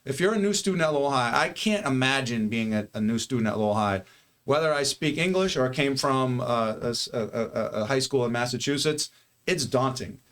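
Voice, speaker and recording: Man, school head, casual talk, rambling restarts, rhetorical questions, mid-pitched voice. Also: animated, brisk, fairly bright tone.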